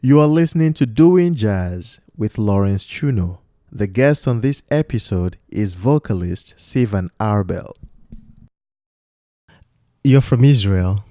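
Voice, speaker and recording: Mellow-voiced male podcast host, rambling. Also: on the low side, expressive, slow, dry recording, studio quality. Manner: rambling, laughs often, stumbles on words. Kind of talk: casual interview chat.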